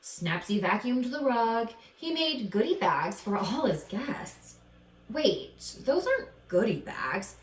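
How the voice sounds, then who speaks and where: Animated woman reading a children's story, echoey. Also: on the high side, slow pace. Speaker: storybook reader. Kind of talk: expressive scripted children's story narration. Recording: echoey room, distant from mic.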